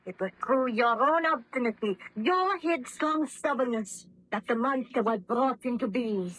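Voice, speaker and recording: Impassioned, high-voiced young fiancee. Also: female voice, measured pace, fairly bright tone, breathy edge. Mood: bitterly accusing. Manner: melodramatic, declamatory, formal. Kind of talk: 1930s radio drama acting. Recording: muffled old radio drama recording.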